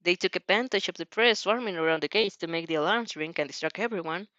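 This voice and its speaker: A woman's voice: on the low side, fast, a bit bright, no room sound, muffled recording, natural voice. Woman voicing an anxious, faltering teenage girl student. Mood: anxious, confessing. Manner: hesitant, faltering account.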